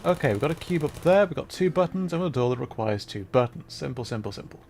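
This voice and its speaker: A man's voice: mid-range voice, animated, fast talker, vocal fry, darkish tone, slight background noise. A man giving casual, playful livestream gameplay commentary, self-deprecating and thinking aloud in repeated little phrases. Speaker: livestreamer playing a puzzle game.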